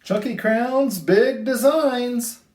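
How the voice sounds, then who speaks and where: A man hosting a children's storytime, reading picture books aloud in an expressive, sing-song way with drawn-out phrases. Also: extremely high pitch. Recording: roomy, echoey indoor sound.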